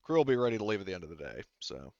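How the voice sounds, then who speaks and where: Male game master, casual and explanatory, smooth delivery, dry but slightly muffled recording. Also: sits low, big pitch swings, racing, darkish tone, dry recording. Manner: explanatory, hedging with frequent "like". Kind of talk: casual tabletop roleplaying talk.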